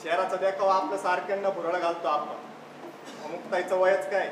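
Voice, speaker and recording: A man's voice: high-pitched, little pitch movement, brisk, close to an everyday voice. A man playing an earnest, worried father and village schoolteacher in a stage play. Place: theatre stage at a youth festival. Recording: distant echoey stage, noisy hall.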